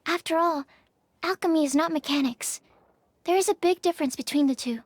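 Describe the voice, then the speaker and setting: A woman's voice: high-pitched, brisk, lightly acted. A woman playing a polite, earnest young alchemist in a video game, explaining things precisely and reasonably. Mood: reasoned. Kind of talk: video game character acting.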